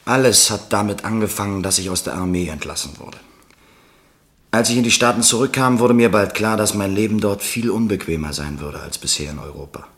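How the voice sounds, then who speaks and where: An actor playing a troubled American ex-soldier living on the run under a false name, speaking with urgent self-reproach in a radio drama. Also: male voice, on the low side, fairly quick, natural voice. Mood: pleading.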